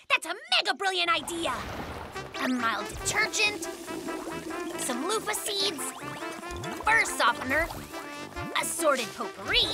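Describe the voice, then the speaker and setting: An actor voicing a boastful, excitable young polar bear inventor in a children's cartoon, with a dramatic, self-important delivery. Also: very high-pitched, vocal fry, full character voice. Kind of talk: animated children's cartoon acting.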